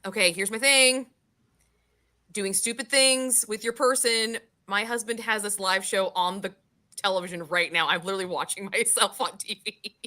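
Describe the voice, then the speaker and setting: A woman with a forceful, bright voice talks casually and with strong opinions about books. She swears easily and piles up exasperated rhetorical questions in an informal podcast style. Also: medium pitch, normal speed. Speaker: book club podcast host. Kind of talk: casual opinionated book discussion.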